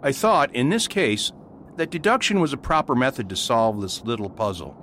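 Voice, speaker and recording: Brief: male actor as a gentle priest, expressive pitch. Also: a little hiss or hum, lightly acted. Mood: narrating. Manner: gentle, reassuring, measured. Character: kindly, gentle middle-aged male priest. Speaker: Father Brown. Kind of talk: amateur radio drama.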